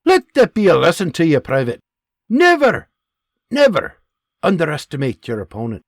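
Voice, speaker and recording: A man voicing a gruff Scottish army sergeant who barks orders and scolds his men in a Scottish accent. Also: fairly high pitch, wildly swooping pitch, normal speed, dry recording, clean studio sound, exaggerated voice. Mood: barking. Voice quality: barking, scolding.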